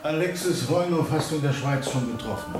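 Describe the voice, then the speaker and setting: A man's voice: fairly high pitch, fairly quick, roomy sound, music in the background, close to an everyday voice. A man acting the resolute leader of an underground revolutionary cell in a radio play, grave and emphatic.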